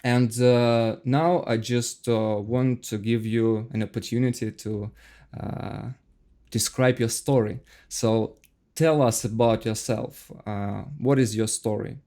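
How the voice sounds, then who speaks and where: Mellow-voiced Russian-accented class host. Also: male voice, mid-range voice, fairly even intonation. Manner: halting, with uh fillers. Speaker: course instructor and host. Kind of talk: hosting an open class.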